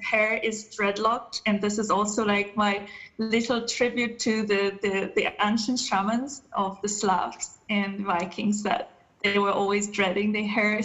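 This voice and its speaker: A woman in her thirties from Poland, a podcast guest answering questions about herself with many pauses and restarts. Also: medium pitch, level tone, a bit bright, poor audio. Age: 30s. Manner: hesitant, many ums and restarts. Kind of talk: casual interview answers.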